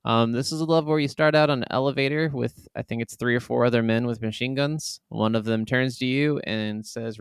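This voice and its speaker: A man's voice: medium pitch, creaky, clear, resonant tone, dry recording, studio quality. A man co-hosting a podcast, chatting casually about video games and telling stories animatedly with plenty of swearing. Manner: animated storyteller, swears freely. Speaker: podcast co-host.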